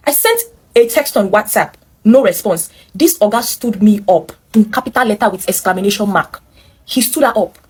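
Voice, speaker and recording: A woman's voice: a little high, slightly rough, rough recording. A woman with a Nigerian accent telling a story as host, emphatic and opinionated, breaking in with commentary addressed straight to listeners. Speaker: host reading and reacting to a viewer's story. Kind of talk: animated storytelling with running commentary.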